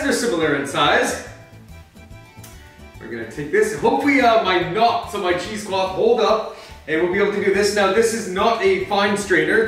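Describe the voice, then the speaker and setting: A man's voice: high voice, a bit bright. A man playing Batman as a flustered host of a comedic cooking show, rambling through the steps and snapping into angry outbursts, in a home kitchen. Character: Batman, gruff brooding male superhero cooking. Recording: very echoey room, mic far off, faint music.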